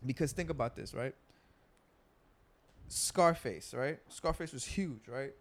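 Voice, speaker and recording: A man's voice: mid-range voice, takes it slowly. A young man in casual group talk, explaining at length with many fillers and restarts. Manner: animated, lots of filler words and restarts.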